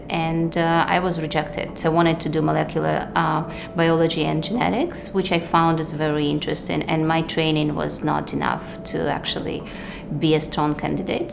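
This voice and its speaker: Low gravelly woman with Ukrainian accent. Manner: measured, thoughtful, hesitant fillers, non-native grammar. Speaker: physician and researcher. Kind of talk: documentary interview.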